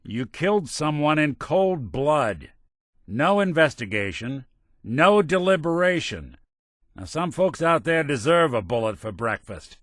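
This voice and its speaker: A man's voice: on the high side, pushed and bright, no room sound, clean studio sound, exaggerated voice. An actor voicing a world-weary male synth detective in a video game, a robot carrying an old cop's memories, talking in a hard-boiled drawl. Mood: angry. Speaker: companion character.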